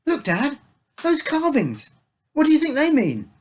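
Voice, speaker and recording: Man playing a curious schoolboy, pitched up high. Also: wildly swooping pitch, a little slow, full character voice. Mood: excited. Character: curious young schoolboy, David, eager to impress his father.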